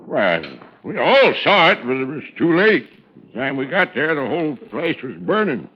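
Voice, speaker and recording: A folksy older foreman runs a country lodge, talking in a rustic drawl that sits a touch high. His chatter comes through the dull, muffled sound of an old radio broadcast. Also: sing-song, moderate pace, slightly rough. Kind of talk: 1950s radio drama acting.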